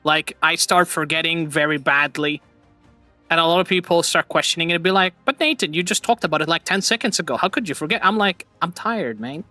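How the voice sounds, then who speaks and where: A man chatting on a live stream with a bright, forward voice, drifting between thoughts and laughing at his own slips. The sound is dry and close, with faint music underneath. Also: on the high side, fairly quick, no echo. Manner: rambling, laughs often, self-mocking. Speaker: streamer host. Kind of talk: casual chat.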